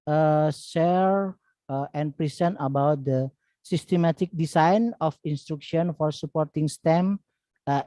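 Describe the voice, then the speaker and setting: A moderator introducing a speaker on a video call, in halting non-native English with many fillers. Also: medium pitch, warm tone, no room sound. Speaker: conference moderator.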